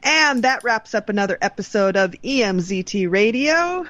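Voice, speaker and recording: A woman's voice: a little high, animated, moderate pace, background noise. A woman co-hosting a podcast in casual, informal, sweary chat. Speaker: podcast co-host.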